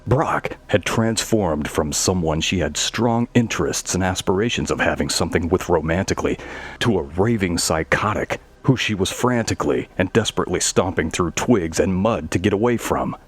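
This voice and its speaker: A man narrates a horror story as a scripted tale. His voice is low and husky, with a rough grain to it. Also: normal speed.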